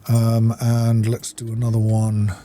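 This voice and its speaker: A man's voice: mid-range voice, completely flat delivery, measured pace, dark tone, slight background noise. A man giving casual gameplay commentary, unhurriedly and methodically thinking aloud as he plays. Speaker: video game streamer.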